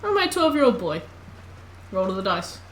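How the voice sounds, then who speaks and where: A woman in her twenties with a squeaky voice, chatting casually with her viewers while playing a game. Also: sing-song, a little fast. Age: 20s. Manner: chatty, banters with chat. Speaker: streamer. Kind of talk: casual gameplay chat. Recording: echoey room with background noise.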